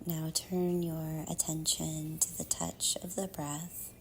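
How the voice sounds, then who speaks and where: A woman leading a guided meditation in a soft, half-whispered, soothing voice, with measured phrases and long pauses. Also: low-pitched, little pitch movement, slight background noise, low-quality recording.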